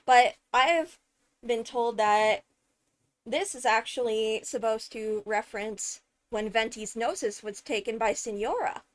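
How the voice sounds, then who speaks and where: A woman giving casual, analytical commentary on a video, animated and emphatic on key words. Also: on the high side, unhurried. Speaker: video host, game lore commentator. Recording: background music behind the voice. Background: music.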